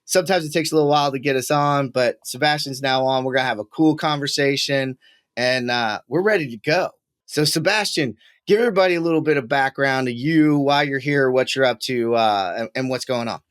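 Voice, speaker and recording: A man hosting a podcast, giving a relaxed, upbeat introduction to his guest. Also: medium pitch, fairly quick, clear, resonant tone. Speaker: podcast host.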